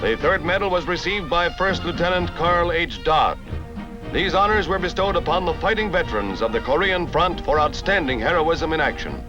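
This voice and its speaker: Male American newsreel narrator, 1950s style: dramatic, very animated, over loud music on a dull old soundtrack. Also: on the high side. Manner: brisk, dramatic announcer delivery.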